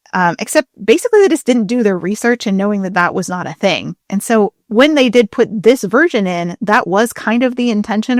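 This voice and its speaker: A woman co-hosting a podcast, explaining things in a casual, chatty way with an animated, emphatic, informal manner. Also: mid-range voice, dry recording, studio quality. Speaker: podcast co-host.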